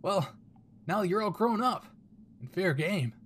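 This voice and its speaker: A man's voice: high voice, animated, takes it slowly, a bit bright, a little hiss or hum, voice pushed up, heavily acted. A man voicing a cruel, sneering male villain henchman in an anime fan audio drama, theatrically mocking and taunting.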